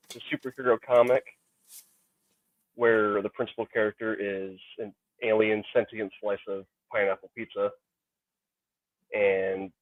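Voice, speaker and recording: Low monotone man on a tinny line. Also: no echo. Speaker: comic writer. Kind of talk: casual podcast chat. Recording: dry, tinny phone-like line.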